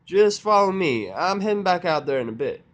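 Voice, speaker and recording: Man doing a high, folksy farm mare. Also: very animated, moderate pace. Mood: doubtful. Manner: folksy country dialect. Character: plain-spoken, skeptical female farm pony.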